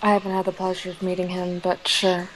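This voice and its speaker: A woman's voice: fairly low pitch, completely flat delivery, a little fast, slight background noise, natural voice, low-quality recording. Woman playing a reserved, self-conscious teenage girl. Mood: curious, dry. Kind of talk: audio drama acting.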